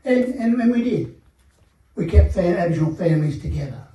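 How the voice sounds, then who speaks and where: Older aussie guy telling his story on a panel, slow and reflective, lots of ums, mic a bit far off. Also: sits high, lively intonation, warm tone. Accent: Australian. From Australia. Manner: measured, reflective, many fillers. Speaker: panel guest. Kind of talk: personal testimony at a seminar panel. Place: seminar hall. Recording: echoey room, mic at a distance.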